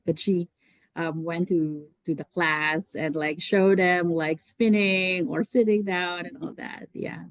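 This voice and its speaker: A woman making brief, halting remarks on a video call, with many restarts. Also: lowish voice, dry recording.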